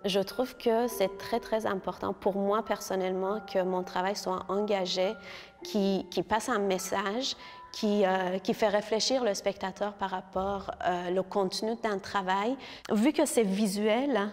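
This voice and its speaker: A woman's voice: mid-range voice, fairly even intonation, measured pace, in a large hall, soft background music. A woman, talking earnestly about her art with frequent hesitations. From Iran. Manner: earnest, hesitant with many filler sounds. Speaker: visual artist. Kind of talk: interview about her exhibition.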